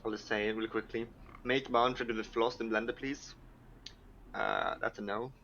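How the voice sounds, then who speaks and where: A man's voice: medium pitch, fairly even intonation, moderate pace, bright, forceful tone, dull audio. A man chatting casually on a development team livestream in a dry, teasing way. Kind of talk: casual joking chat. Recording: faint background noise.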